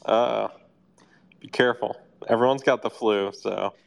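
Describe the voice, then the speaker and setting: A man with the flu and a raspy voice, chatting laid-back as a co-host. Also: lowish voice, fairly even intonation, a little slow. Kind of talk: casual podcast chat.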